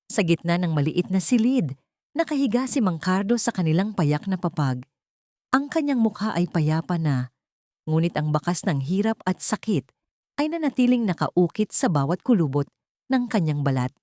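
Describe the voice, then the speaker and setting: A woman's voice: lowish voice, big pitch swings, normal speed, a little mellow, dry recording, studio quality. A woman narrating a scripted dramatic story in a solemn, emotive manner.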